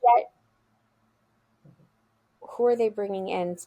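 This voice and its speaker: A woman's voice: medium pitch, takes it slowly, highs cut off. A woman, a school founder, answering interview questions in an earnest, emphatic way, often restarting her words. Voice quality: loud voice. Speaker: school founder, podcast guest.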